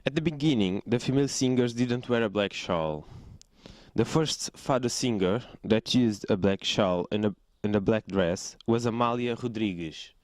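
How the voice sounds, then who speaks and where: Halting Portuguese student, dry recording. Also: male voice, on the low side, darkish tone, dry recording. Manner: halting, with restarts and fillers. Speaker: student interviewee. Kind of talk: answering interview questions.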